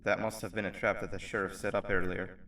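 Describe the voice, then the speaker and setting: A man playing a weary, guarded former worker in a video game, speaking in a measured, understated and reflective way. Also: sits low, level tone, fairly bright tone. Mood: matter-of-fact. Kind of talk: video game character dialogue. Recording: roomy, distant, noisy game ambience.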